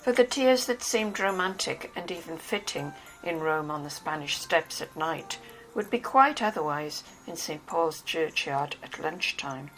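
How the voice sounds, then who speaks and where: Slightly low-voiced woman narrator. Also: expressive, a little slow, fairly bright tone, faint music behind, low-quality recording. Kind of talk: scripted literary narration.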